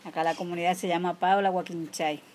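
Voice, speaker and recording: A low-voiced woman in her forties from rural Argentina, Mendoza accent, telling her life story plainly and haltingly to a visitor, with clear noise around her. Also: steady tone, darkish tone. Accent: rural Argentine Spanish from Mendoza. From rural Mendoza province, Argentina. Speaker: interviewee, artisan weaver. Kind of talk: informal oral history interview. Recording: clearly noisy background with clicks.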